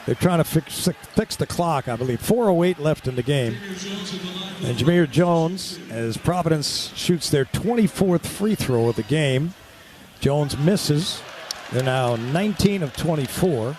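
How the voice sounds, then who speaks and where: A man calling live radio basketball play-by-play in clipped, excitable running descriptions. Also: a little high, unhurried. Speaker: play-by-play announcer. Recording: courtside with loud crowd and gym noise. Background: crowd and arena ambience.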